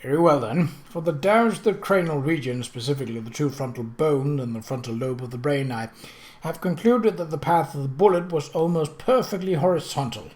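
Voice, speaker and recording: A man voicing a professional, slightly gruff male police surgeon in an audiobook, with a formal, clinical manner. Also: fairly high pitch, brisk, a little mellow, lightly acted. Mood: reluctant, clinical. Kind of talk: audiobook character dialogue.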